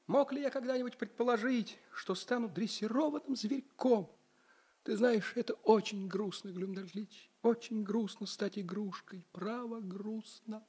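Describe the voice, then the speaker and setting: A man's voice: sits high, unhurried, a little mellow, breathy edge. An actor playing a tiny, dignified man in a children's radio play, speaking with earnest, courtly eloquence. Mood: weary, sad.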